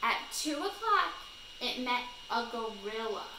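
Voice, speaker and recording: A young adult woman reading a children's storybook aloud in a deliberate way, stressing key words, recorded at home a short distance from the mic. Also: fairly high pitch, big pitch swings. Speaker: read-aloud teacher. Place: small room at home, indoors. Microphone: camera or phone a short distance away. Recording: roomy, clearly noisy home recording.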